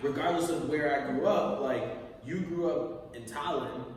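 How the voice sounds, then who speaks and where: A young man from Connecticut, co-hosting a podcast in casual chat, animated and profane as he tells stories. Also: on the high side, normal speed, slight background noise. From Connecticut, USA. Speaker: podcast co-host. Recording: echoey room, far from mic.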